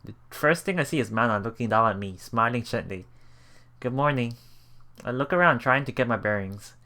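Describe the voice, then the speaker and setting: A let's player reading a visual novel aloud in a halting way, with emotionally invested asides. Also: mid-range voice, sharp tone. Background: game music.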